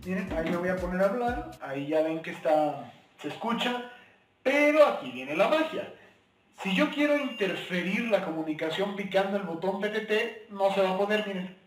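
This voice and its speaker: Young Mexican male tutorial host, high-pitched voice, informal explaining style, recorded from a distance in a reverberant room. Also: lively intonation, normal speed. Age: young adult. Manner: friendly direct address to viewers. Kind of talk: informal instructional explanation with practical examples. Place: small bare indoor room at a table. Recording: echoey bare room, distant microphone.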